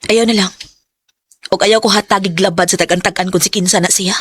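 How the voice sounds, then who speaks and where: A woman acting a heartbroken, proud young office worker in a Philippine radio drama, with a Cebuano accent and expressive delivery. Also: medium pitch, fairly quick, husky, no echo. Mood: curt, irritated. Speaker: lead actress and first-person narrator.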